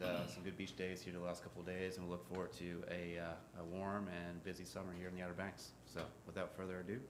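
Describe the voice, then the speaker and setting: A man presenting his lifeguard staff to a town board in a relaxed way, with many fillers. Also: low-pitched. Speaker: ocean rescue official presenting to the board. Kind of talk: informal presentation. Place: town council chamber. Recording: echoey room with light noise.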